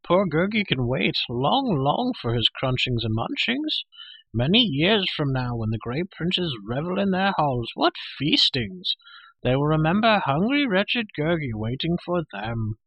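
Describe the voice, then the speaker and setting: A man's voice: on the high side, very animated, measured pace, slightly rough, no room sound, muffled recording, voice pushed up, exaggerated voice. A man voicing a hairy, half-animal storybook creature who wails, pleads and fawns hungrily. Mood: pleading, wretched. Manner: wailing, pleading, fawning, speaks of himself in third person. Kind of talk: storybook character voice.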